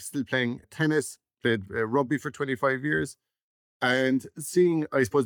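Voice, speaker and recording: A man's voice: medium pitch, dry recording, clean studio sound. A man, a startup chief executive explaining his background conversationally as an interview guest.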